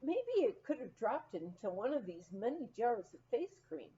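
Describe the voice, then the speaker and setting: Brisk, wry lady innkeeper, read by a woman. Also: on the high side, very animated, warm tone, muffled recording. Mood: brisk, questioning. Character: brisk, no-nonsense younger ranch innkeeper, wryly exasperated.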